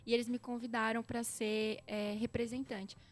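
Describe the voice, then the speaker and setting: A young Brazilian woman with a Brazilian Portuguese accent, a podcast guest telling lively stories and speaking straight to listeners. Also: a little high, moderate pace. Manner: lively storyteller, often addresses listeners directly. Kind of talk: casual chat.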